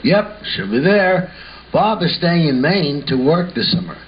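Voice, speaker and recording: Puppet show neighbor played by a man: older gardener, plain-spoken grumbler, fairly high voice, muffled recording. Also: very animated, a little slow. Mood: folksy, grumbling. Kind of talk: amateur puppet show acting. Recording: muffled home recording with hiss.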